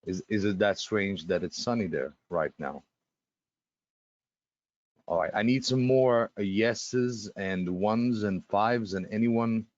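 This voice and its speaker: A man's voice: lowish voice, slow, highs cut off. A man with a Russian accent hosting a live webinar, chatty and full of fillers and restarts as he talks to the attendees. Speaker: webinar host.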